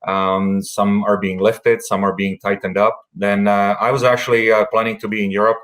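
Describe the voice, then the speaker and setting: A man from the Czech Republic speaks in a low, monotone voice that barely rises or falls. He answers interview questions haltingly, filling pauses with frequent hesitations. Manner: halting, many uh fillers. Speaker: hockey executive guest.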